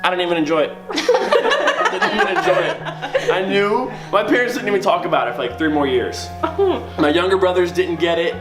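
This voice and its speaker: A man's voice: high voice, sing-song, moderate pace, music in the background. An American man, a pastor telling a personal story in a theatrical, emphatic, comedic way with a giggly edge.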